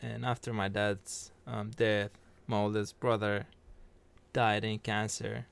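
A man's voice: on the low side, level tone, takes it slowly, vocal fry, clean tone. A man from Iraq, a non-native English speaker, telling his personal story casually as a podcast guest. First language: not English.

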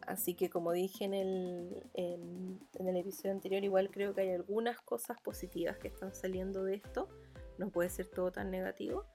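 A woman's voice: mid-range voice, little pitch movement, moderate pace, dark tone. Chilean lady from santiago chatting on her podcast, mid voice, rambly and informal, music in the back. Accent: Chilean Spanish (Santiago). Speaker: podcast host. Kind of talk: casual chatty solo monologue.

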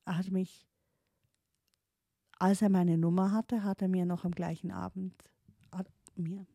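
A woman's voice: fairly low pitch, flat delivery, a little slow, vocal fry, dark tone, no echo. A woman in her late thirties with a Swiss accent, German not her first language, musing hesitantly about her past with many fillers and restarts. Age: 30s. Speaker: streamer. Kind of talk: reflective personal storytelling.

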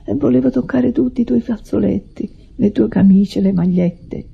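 Hurt plain sister in old radio drama, gravelly rough voice, earnest and reproachful, muffled sound. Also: female voice, medium pitch, moderate pace, full character voice. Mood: tender, nostalgic. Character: devoted, plain, lonely sister pouring out hurt. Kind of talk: Italian radio drama acting. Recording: dull vintage radio drama recording.

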